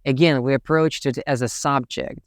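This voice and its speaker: Russian-accented young man with a smooth voice. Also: medium pitch, fairly even intonation, normal speed, dry recording, clean studio sound. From Tatarstan, Russia. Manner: thoughtful, with many fillers like "you know" and "right". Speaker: English teacher, channel host. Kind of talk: reflective talk to camera.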